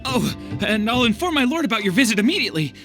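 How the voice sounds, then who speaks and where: An actor playing a nervous, eager, deferential retainer in a video game, stammering with servile politeness. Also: male voice, very high voice, sing-song, fast, music in the background, full character voice. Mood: flustered, eager.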